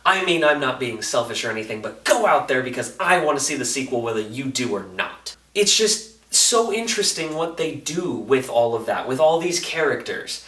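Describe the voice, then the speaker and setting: A man's voice: mid-range voice, lively intonation, normal speed, few pauses, bright, forceful tone. A man reviewing a film in a casual, enthusiastic vlog style, talking colloquially and animatedly and acting out reactions in quoted asides. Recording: roomy echo, distant microphone.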